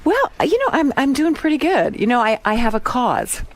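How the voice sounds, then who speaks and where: A woman guest on a radio show who answers teasing questions playfully, laughing often. Her pitch moves a lot, and her voice sits in a middle register. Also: very animated, normal speed, a little hiss or hum. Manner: playful, laughing, repeats words. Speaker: guest actress. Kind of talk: casual studio banter.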